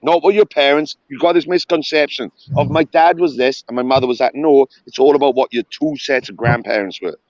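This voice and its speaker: A man's voice: medium pitch, a little fast, few pauses, darkish tone, no echo. A young man in a heated voice-chat argument, pushing his point hard and talking over the other man. His audio is dull and lo-fi, with a dry, close sound and no room around it. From Wales. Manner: insistent, talks over the other man, repeats himself.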